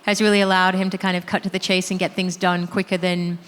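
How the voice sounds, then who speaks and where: A woman's voice: on the low side, flat delivery, fast talker, a bit bright. A woman moderating a panel, introducing the guests in a chatty way with many fillers. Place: conference hall. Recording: reverberant hall with faint noise.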